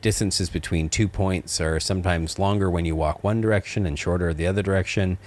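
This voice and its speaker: A man's voice: sits low, fairly even intonation, fairly quick, a little mellow. A middle-aged man co-hosting a father-son podcast, talking in a rambling way with many fillers and restarts. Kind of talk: casual podcast chat.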